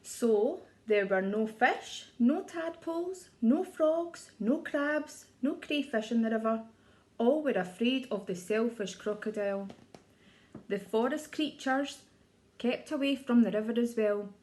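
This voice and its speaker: A woman with a high-pitched voice reads a picture book to young children. Her delivery is expressive storytelling, in the manner of an early years teacher who draws listeners in with questions. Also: measured pace. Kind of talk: children's storybook read-aloud.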